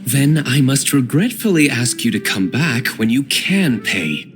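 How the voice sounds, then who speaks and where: A man playing a stoic, brooding young nobleman in a video game, speaking in a formal, measured, reserved way. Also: medium pitch, big pitch swings, over music. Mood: courteous, cool.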